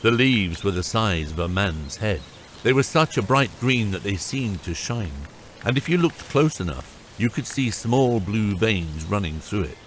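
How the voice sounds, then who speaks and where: Low-voiced male audiobook narrator. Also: expressive, a little slow, a bit bright, a little hiss or hum. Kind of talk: scripted fantasy audiobook narration.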